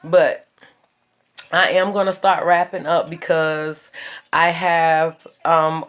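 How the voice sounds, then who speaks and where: A woman in her thirties with a lowish voice delivers a casual vlog monologue. She lectures her viewers emphatically and hammers her points home through repetition. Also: little pitch movement, slow pace, clear, resonant tone. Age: 30s. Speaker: YouTuber giving life advice.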